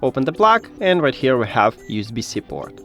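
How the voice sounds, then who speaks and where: Guy doing a how-to tech tutorial, pitch goes up and down a lot, bit halting, faint music. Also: sing-song, fairly bright tone. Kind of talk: step-by-step spoken tutorial.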